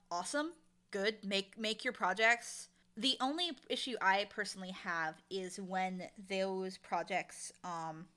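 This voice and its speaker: Bright-voiced woman in an interview. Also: medium pitch. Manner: animated, illustrates points with acted-out quotes. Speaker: audio drama showrunner, interview guest. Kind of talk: casual, opinionated interview talk.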